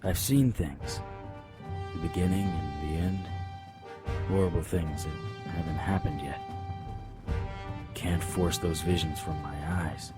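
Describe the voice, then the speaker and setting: A man's voice: deep voice, takes it slowly, pauses often, loud music behind the voice. A man playing a weakened, haunted champion resisting temptation in an audio drama, sounding strained and grim.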